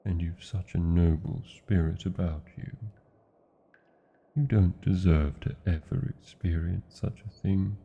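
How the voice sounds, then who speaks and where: A British man voicing a huge, ancient, proud yet lovesick dragon in a romantic fantasy roleplay, with a gravelly, husky voice and archaic, theatrical phrasing. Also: deep voice, fairly even intonation, narrow, tinny audio, heavily acted. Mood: tender, sorrowful. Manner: archaic, grandiose phrasing with lingering pauses.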